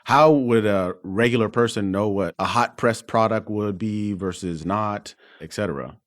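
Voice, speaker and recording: A man's voice: lowish voice, moderate pace. A man hosting a medical podcast, asking guests questions in a conversational way. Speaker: podcast host, physician. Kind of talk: conversational interviewing.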